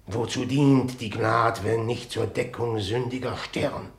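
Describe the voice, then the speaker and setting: A man's voice: mid-range voice, steady tone, unhurried, reverberant, natural voice. An actor playing a tormented, commanding usurper king in a radio tragedy, with heavy declamatory delivery. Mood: anguished, remorseful. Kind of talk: classical stage tragedy radio acting.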